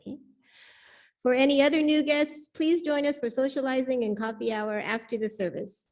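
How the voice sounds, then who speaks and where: A woman's voice: high voice, fairly even intonation, normal speed, a little mellow. A woman reading and making announcements as the worship leader of a church service, speaking into a pulpit microphone. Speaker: worship leader welcoming the congregation.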